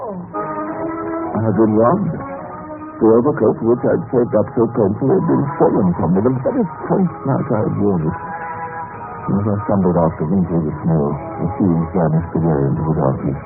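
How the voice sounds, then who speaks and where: An actor playing a meek, sorrowful clerk who tells his own tale in measured radio drama narration. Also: fairly low pitch, sing-song, creaky. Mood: anguished. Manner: measured, atmospheric, literary storytelling. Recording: tinny vintage radio recording under music.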